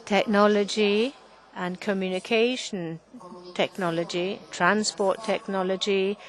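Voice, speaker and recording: Woman interpreter, mid pitch, slow and halting delivery, restarts mid-word. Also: low-quality recording. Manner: halting, frequent restarts and self-corrections. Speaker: conference interpreter. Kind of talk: simultaneous interpretation of a panel talk.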